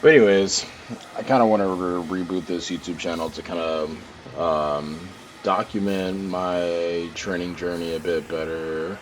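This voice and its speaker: Congested low-voiced man rambling casually. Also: fairly even intonation, unhurried, background noise. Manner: rambling, many fillers and restarts. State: congested, sore throat, clearing throat often. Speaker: powerlifter narrating his training. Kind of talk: casual voice-over narration.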